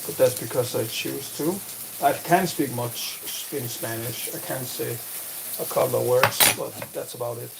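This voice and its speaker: A man's voice: mid-range voice, fairly even intonation, moderate pace, vocal fry. A man with a Danish accent, a streamer chatting casually with viewers in a rambling way while he cooks, recorded on a phone. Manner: rambling, answers chat directly, many restarts. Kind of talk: casual live-stream chat. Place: home kitchen while cooking. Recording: loud kitchen noise from frying and tap. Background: frying, sizzling and a running tap.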